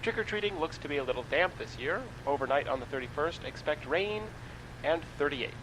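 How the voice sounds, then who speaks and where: An actor playing a dry small-town radio host reading weather and news in a comedy radio play. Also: male voice, on the high side, brisk, background noise, close to an everyday voice. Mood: deadpan. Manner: deadpan, dry.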